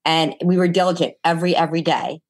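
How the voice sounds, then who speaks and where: Low-pitched older woman, chatting. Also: steady tone, fast. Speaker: interview guest. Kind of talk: casual conversational storytelling.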